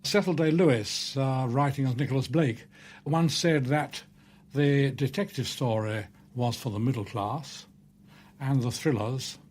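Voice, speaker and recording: A man's voice: mid-range voice, measured pace, a little mellow. A man gives unscripted expert commentary, choosing his words with some hesitation.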